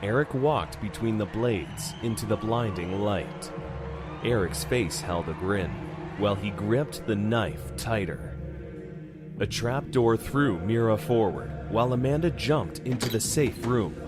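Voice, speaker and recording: A man's voice: fairly low pitch, lively intonation, unhurried. A man narrating a scripted horror story in an audio drama. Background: music.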